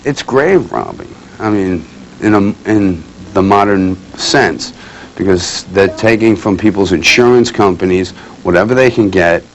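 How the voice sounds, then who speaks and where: Male interviewee, low voice, unscripted remarks, muffled recording with faint noise. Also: unhurried. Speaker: news interviewee. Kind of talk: spontaneous interview soundbite. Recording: dull, faintly noisy news interview tape.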